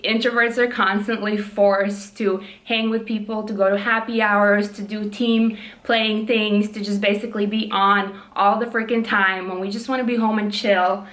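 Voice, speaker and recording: A young woman with a naturally loud voice, chatting casually at home while doing her nails, frank and fond of swearing, full of likes and ums, holding her voice down. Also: mid-range voice, little pitch movement, moderate pace, few pauses, echoey room. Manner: frank, swears freely, many "like" and "um" fillers; speaking softly to keep quiet. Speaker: vlogger, PhD student. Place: room at home.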